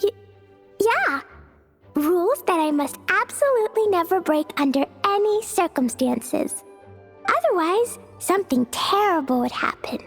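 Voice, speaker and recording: A woman playing a sweet, innocent, excitable little girl in an anime video game, with an earnest childlike manner. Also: very high voice, a little slow, soft background music, full character voice. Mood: earnest, nervous.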